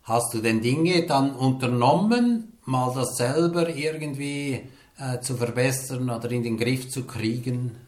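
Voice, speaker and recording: Man, interviewer, calm measured questions, slow delivery, roomy echo. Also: medium pitch.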